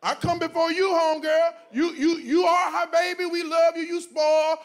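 A middle-aged pastor with a strikingly high voice for a man, speaking to his church from the stage on a handheld mic. He talks in a joking, preachy, animated way, punching lines with loud bursts. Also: moderate pace. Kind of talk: preachy conversational talk to a congregation. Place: church stage before a congregation, indoors.

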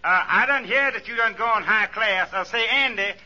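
An actor playing an excitable pal on the telephone in a 1940s radio comedy, talking in hesitant, folksy, ungrammatical phrases. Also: male voice, high-pitched, normal speed, full character voice. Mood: eager. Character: excitable, grandly named pal phoning a friend. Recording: thin, muffled 1940s radio recording.

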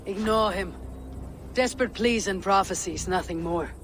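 A steady, blunt Viking woman leader, voiced by an actress in a game, with calm, dry delivery. Her voice sits in a long reverb with clear noise around it. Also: mid-range voice, moderate pace, lightly acted. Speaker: game protagonist.

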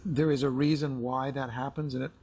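Middle-aged male counselling client, raspy with vocal fry, reflective, halting conversational delivery. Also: mid-range voice, flat delivery, darkish tone. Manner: halting, repeats words as he searches. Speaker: husband in couples counselling. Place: indoor studio set with armchairs.

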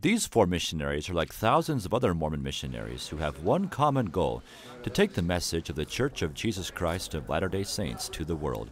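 Deep-voiced male documentary narrator. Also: big pitch swings. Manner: measured, formal delivery.

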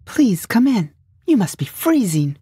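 Woman acting a husky, unhinged sweet-talking ex-wife. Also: medium pitch, big pitch swings, a little slow, warm tone, dry recording, clean studio sound, full character voice. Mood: sweetly coy. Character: unhinged, sweetly paranoid ex-wife muttering at watchers. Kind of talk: audio drama acting.

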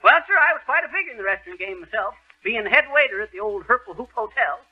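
A man's voice: sits high, lively intonation, quick pace. A man playing a boastful, folksy American husband full of tall tales in a 1930s radio comedy. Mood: cocky, folksy. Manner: folksy, bragging, alliterative patter. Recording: dull, noisy 1930s radio recording.

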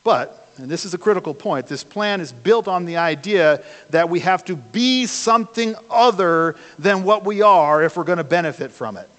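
Older pastor preaching in a reverberant church. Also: male voice, high-pitched, expressive, moderate pace, hardly any pauses, a bit bright. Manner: conversational preaching with rhetorical questions. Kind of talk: sermon. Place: church sanctuary, speaking from a pulpit. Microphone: far camera at the back of the church. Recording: long church hall reverb.

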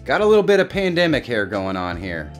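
A middle-aged American man hosting a casual software walkthrough. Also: medium pitch, very animated, a little fast. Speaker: tutorial host. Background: light background music.

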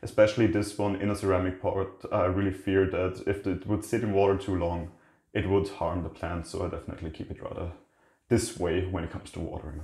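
A man hosting a casual plant tour in his kitchen, talking in a relaxed, rambling way with fillers and restarts. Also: low-pitched, a little fast. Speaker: plant channel host. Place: indoor kitchen by a windowsill. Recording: echoey kitchen room.

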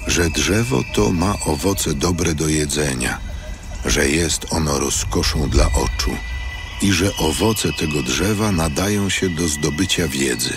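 A man's voice: very deep voice, measured pace, over music. A man narrating scripture in a solemn, scripted style.

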